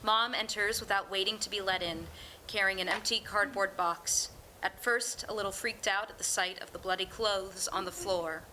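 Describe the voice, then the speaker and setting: A woman's voice: medium pitch, fairly even intonation, normal speed, sharp tone, slight background noise, rough recording. A woman reading stage directions as scripted narration for a staged play reading.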